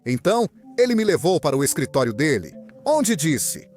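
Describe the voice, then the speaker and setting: Animated young man telling his story. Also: fairly high pitch, moderate pace, faint music behind. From Venezuela. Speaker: guest. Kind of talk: interview answers, personal story.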